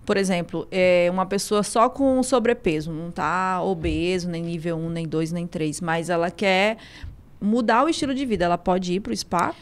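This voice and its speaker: A woman's voice: on the low side, normal speed. A woman host with a Northeastern Brazilian accent, chatting in an upbeat, talkative way as she runs a casual interview. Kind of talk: casual talk-show interview.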